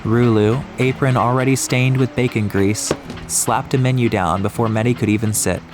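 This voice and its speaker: A man's voice: mid-range voice, fairly even intonation, moderate pace. A man narrating an atmospheric audiobook story from a script. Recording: music behind the voice.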